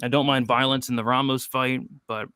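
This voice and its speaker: Bright, clear-voiced young man hosting. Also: mid-range voice, flat delivery, fairly quick, dry recording. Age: 20s. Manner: loose, jokey, reads chat questions aloud. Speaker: host of a betting show. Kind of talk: casual livestream chat.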